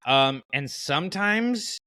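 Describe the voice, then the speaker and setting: Male podcast host, bright and punchy, very dry sound, casually arguing his case in everyday slang. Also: on the high side, animated, takes it slowly, no echo. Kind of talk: casual fan podcast discussion.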